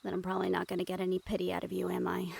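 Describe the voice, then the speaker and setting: A woman acting in an intimate audio roleplay as a remorseful, weary young woman with dangerous powers, speaking softly and hesitantly to the listener. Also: on the low side, completely flat delivery, rapid-fire, creaky, slight background noise. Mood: self-reproachful.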